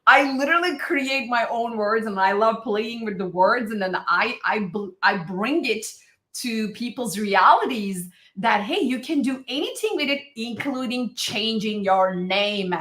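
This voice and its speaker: Bright-voiced woman with a Turkish accent. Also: on the high side, lively intonation, a little slow, roomy sound. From Turkey. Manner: enthusiastic, affirming, laughs often. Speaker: podcast host and NLP teacher. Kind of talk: conversational interview.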